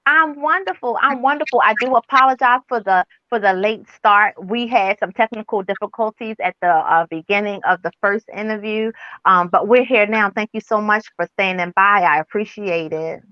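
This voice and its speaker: A woman's voice: mid-range voice, normal speed, dry recording. A woman hosting a casual online interview show, upbeat, with fillers and restarts, on a video call. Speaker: host. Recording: dull lo-fi online call audio, dry.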